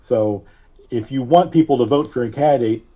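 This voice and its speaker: A man speaking as a podcast guest, giving conversational political commentary in a measured, wry, halting way. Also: medium pitch, lo-fi sound.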